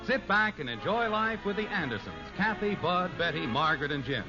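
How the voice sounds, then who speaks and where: A man's voice: a little high, big pitch swings, fairly quick. An American man announcing and narrating a 1950s radio sitcom from a script. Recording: dull old radio recording. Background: music.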